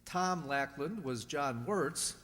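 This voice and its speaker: Higher-voiced male radio announcer in a hall. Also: lively intonation, slow, fairly bright tone. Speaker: host and announcer. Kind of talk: scripted old-time radio announcing. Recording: long hall reverb.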